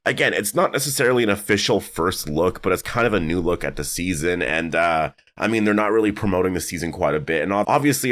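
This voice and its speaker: A deep-voiced man talks through his thoughts in a loose, rambling commentary, his voice raspy and slightly worn. He fills pauses with "uh" and "like" as he speculates. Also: a bit bright. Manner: rambling, filler-heavy, thinking aloud. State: raspy, strained voice. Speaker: YouTube commentator. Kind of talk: casual video commentary.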